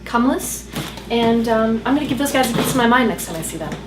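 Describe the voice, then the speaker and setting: Actress as long-suffering female diner, highish fast voice, politely aggrieved, in a noisy comedy video. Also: expressive, close to an everyday voice. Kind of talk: comedy sketch dialogue. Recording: echoey, distant, noisy video playback.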